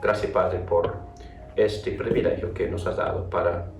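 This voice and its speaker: Lowish guy from north carolina giving a bible class, stops and restarts a lot, faint hum behind him. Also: little pitch movement, gravelly, a little mellow. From North Carolina, USA. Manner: halting, restarts words, repeats phrases. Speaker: theology teacher. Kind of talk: classroom lecture with opening prayer. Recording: echoey room, voice from far off.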